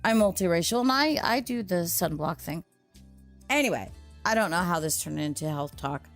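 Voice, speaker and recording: A woman's voice: lowish voice, animated, moderate pace. A woman hosting a story channel, chatting casually to her listeners in a rambling, digressive way. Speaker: host and story narrator. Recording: music behind the voice. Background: music.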